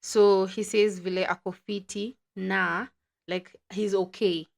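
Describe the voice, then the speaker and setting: A woman's voice: medium pitch, little pitch movement, slow, creaky. Woman, podcast host, chatty explainer, mid-range everyday voice. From Kenya. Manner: chatty, laughs often, explains with lots of "like". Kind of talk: casual chat.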